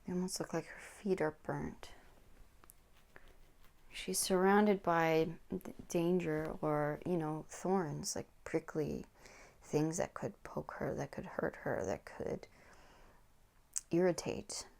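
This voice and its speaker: Low, gravelly woman giving a soft tarot reading. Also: steady tone. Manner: slow, soothing, drops into whispers. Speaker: tarot reader. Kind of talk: pick-a-card tarot reading.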